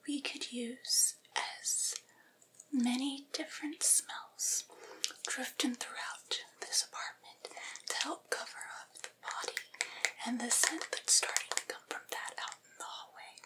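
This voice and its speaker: A devoted vampire's familiar, played by a woman whispers in a soothing ASMR roleplay. The voice is high and bright, with a very unhurried delivery. The servant coaxes and lightly scolds a needy master. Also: fairly even intonation, rough recording. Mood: hushed, careful. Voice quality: breathy whisper. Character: vampire's doting, patient, gently chiding familiar servant.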